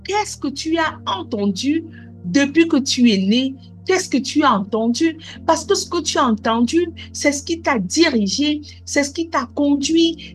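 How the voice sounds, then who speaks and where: A woman's voice: sits high, a bit bright. A woman preaching a sermon, asking repeated questions and punctuating her lines with alleluia and amen.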